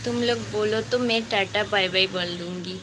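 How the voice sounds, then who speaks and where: A young woman with a Bengali accent, whose first language is Bengali, hosting a casual live stream and chatting with viewers in halting, broken Hindi as she searches for words. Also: mid-range voice, noisy background.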